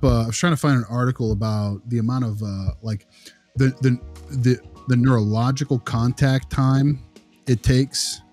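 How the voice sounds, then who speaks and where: A man's voice: mid-range voice, darkish tone, breathy edge. A man explaining things casually as a podcast co-host.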